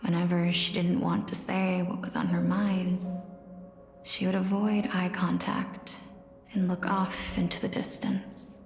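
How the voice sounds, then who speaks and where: A woman playing a solemn, gentle young demigod of death in a video game, speaking in a soft, soothing, measured and mournful way. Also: lowish voice, flat delivery, vocal fry. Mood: calm, solemn. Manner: slow, measured, wistful storytelling. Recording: echoey hall reverb with music behind.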